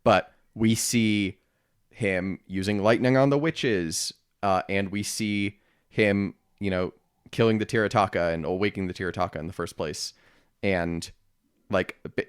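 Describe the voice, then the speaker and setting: A man co-hosting a podcast, explaining his points in a halting, self-correcting, casually analytical way. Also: low voice, steady tone, smooth, no echo, studio quality. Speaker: podcast co-host.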